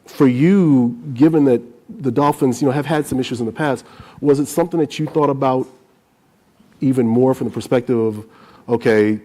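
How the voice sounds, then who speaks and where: A man's voice: medium pitch, normal speed, warm tone. A man moderating a live panel discussion in an informal, conversational way.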